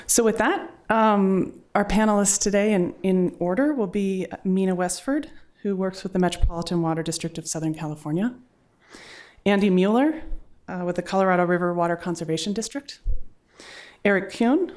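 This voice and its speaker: A woman's voice: mid-range voice, normal speed, darkish tone. A woman moderating a symposium panel, introducing the speakers and laying out the format.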